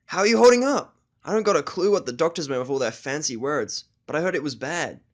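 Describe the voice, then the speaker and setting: Man putting on a high, brash, excitable girl pony. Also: quick pace. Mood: concerned. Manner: exclamatory, slangy, eager. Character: brash, excitable young female pegasus pony, boastful and loyal. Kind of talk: audio drama of fan fiction.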